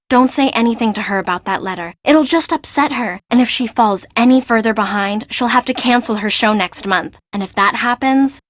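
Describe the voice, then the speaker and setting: A woman's voice: on the high side, fairly bright tone, no room sound, lightly acted. A woman playing a chatty young fashion assistant in a video game, confiding and gossipy.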